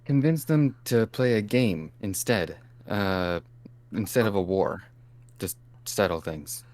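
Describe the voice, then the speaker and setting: A man acts out a gentle, hesitant angel in improvised comedy. The voice is deep, smooth and clear. He speaks tentatively, haltingly searching for words as a calm peacemaker. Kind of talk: improvised comic role-play.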